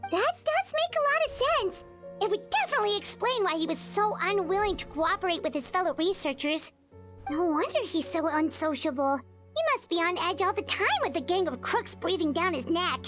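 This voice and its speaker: A woman voicing a tiny, excitable, blunt fairy companion in a video game, chirpy and squeaky. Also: a little fast, music in the background. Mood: emphatic. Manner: chirpy, speaks of herself in third person.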